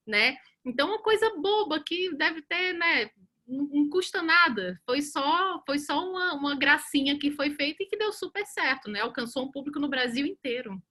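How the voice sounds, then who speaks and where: Brazilian woman around forty, bright voice, a bit high, chatty and animated webinar talk. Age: 40s. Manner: chatty, animated, many fillers and restarts. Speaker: webinar panelist, communications professional.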